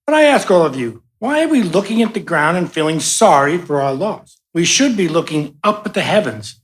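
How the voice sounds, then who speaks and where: A man acting in a radio play as a grieving, hopeful young son giving an earnest, measured eulogy for his mother. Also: a little high, very animated. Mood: earnest, tender.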